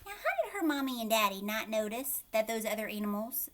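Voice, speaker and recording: Animated, high-voiced woman reading to children. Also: fairly quick. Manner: expressive, addressing young listeners directly. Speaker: teacher reading aloud to children. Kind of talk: children's storybook read-aloud with questions.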